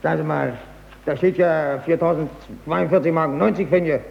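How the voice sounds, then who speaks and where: An actor in a 1940s German radio play, playing a clipped, officious but courteous Prussian captain who is an impostor. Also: male voice, on the high side, steady tone, moderate pace, vocal fry. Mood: brisk, official. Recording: echoey, dull, hissy old radio recording.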